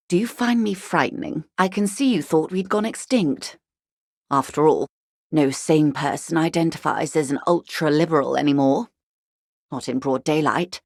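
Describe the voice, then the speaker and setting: A woman voicing a poised upper-class older woman in a video game, measured and articulate, with dryly ironic, condescending politeness. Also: deep voice, no room sound, clean studio sound, exaggerated voice. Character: poised, wry upper-class older woman, unrepentant ultra-liberal negotiator. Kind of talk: video game character dialogue.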